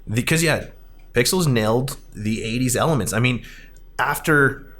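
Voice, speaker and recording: Male podcast host, average pitch, casual and emphatic, rambling conversational delivery. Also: normal speed, a bit bright. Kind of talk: casual movie discussion.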